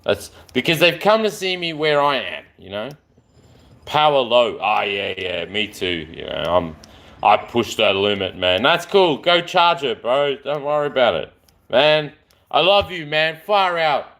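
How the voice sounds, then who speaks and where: A man in his late forties chats on a live stream in a loose, rambling way. He is openly affectionate with the people he talks to. His voice sits at a middle pitch but moves up and down a great deal. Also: lively intonation, unhurried, fairly bright tone. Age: 40s.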